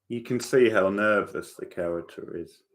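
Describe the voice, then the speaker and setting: A man's voice: lowish voice, normal speed. A man giving casual, off-the-cuff watchalong commentary as host of a reaction channel. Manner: off-the-cuff, sporadic remarks.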